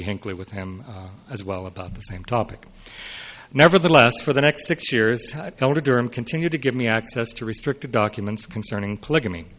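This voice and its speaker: Guy, older-ish historian reading his talk out loud, mid pitch, measured, little asides here and there. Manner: measured, announces quote and unquote, brief unscripted asides. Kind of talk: reading a prepared autobiographical speech aloud.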